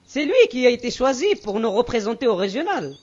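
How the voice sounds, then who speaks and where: An actor plays a schoolboy athlete in a children's cartoon. The voice is youthful and swoops up and down with animated expression. The sound is dull and muffled. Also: medium pitch, a little fast, close to an everyday voice. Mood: resentful, grumbling. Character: resentful, envious schoolboy athlete. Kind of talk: children's educational cartoon dub.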